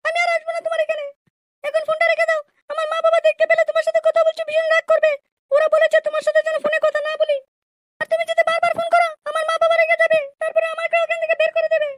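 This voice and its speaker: A woman voicing an aggrieved young village wife in an amateur comedy cartoon, squeaky and scolding in an indignant, complaining way. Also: completely flat delivery, rapid-fire. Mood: resentful refusal. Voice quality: squeaky, artificially high-pitched.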